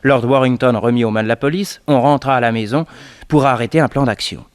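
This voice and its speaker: An actor playing a detective's assistant who narrates their adventures in a scripted French radio serial. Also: male voice, medium pitch, a little fast, lightly acted. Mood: measured. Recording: noisy old radio recording.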